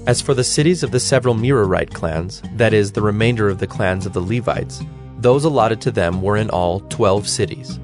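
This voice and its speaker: Man narrating audio bible, lowish voice, formal and measured, instrumental music clearly behind. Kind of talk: scripted scripture narration. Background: instrumental music with plucked strings.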